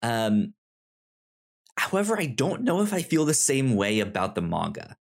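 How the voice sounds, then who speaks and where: Male co-host, medium pitch, conversational and hesitant, restarts phrases while reviewing. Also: huge pitch swings, gravelly. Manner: hesitant, halting, repeats words as he searches for phrasing. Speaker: podcast co-host. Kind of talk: casual conversational book review.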